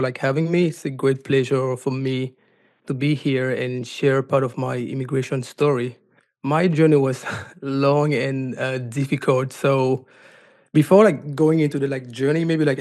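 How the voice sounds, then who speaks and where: A man with a Cameroonian accent telling a personal story as an interview guest, haltingly, often dropping in "like". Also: mid-range voice, darkish tone. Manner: halting, frequent "like" as filler. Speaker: podcast guest, life coach and advocate.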